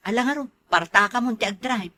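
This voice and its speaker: An actress with an Ilocano accent playing a proud, reproachful elder sister who owns a farm, in a comedy drama. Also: female voice, on the high side, a little fast, sharp tone, slight background noise. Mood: eager. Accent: Ilocano, northern Philippines.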